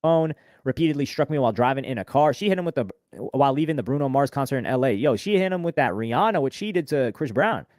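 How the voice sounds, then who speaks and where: Brisk man reading a filing aloud. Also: medium pitch, animated, darkish tone, dry recording, studio quality. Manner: brisk, reads documents quickly then comments casually. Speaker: streamer reacting to court filing.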